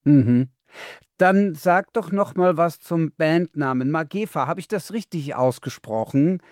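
A man's voice: on the high side, measured pace, a little mellow, no echo, studio quality. A man interviewing a metal band, asking questions in a friendly, conversational way.

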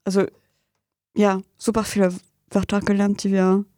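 A woman's voice: medium pitch, monotone, normal speed, warm tone, no echo. A young woman with a French accent, a news reader at a radio studio who speaks German as a learned language, reading the news and then chatting hesitantly. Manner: hesitant, searching for words, laughs easily.

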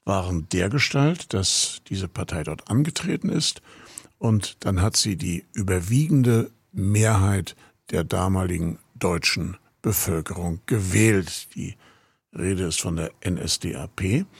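A low, gravelly male voice, somewhat husky, talks casually as a radio host, wandering from one thought to the next before introducing a song. He grew up in Rostock. The sound is close and dry. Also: lively intonation, unhurried, dry recording. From Rostock, Germany. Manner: chatty, meandering, introducing music.

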